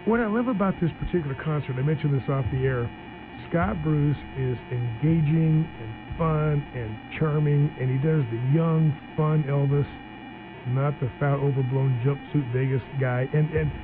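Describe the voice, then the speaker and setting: A chatty, upbeat man hosts a casual radio talk segment, stumbling and restarting as he goes. His voice comes through a narrow, phone-like band. Music plays clearly underneath. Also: medium pitch. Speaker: radio talk show host. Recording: tinny phone-like broadcast with music.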